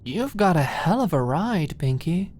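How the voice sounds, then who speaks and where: Woman playing a wry young woman, low-voiced. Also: very animated, measured pace, a little mellow, a little hiss or hum. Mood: wry teasing.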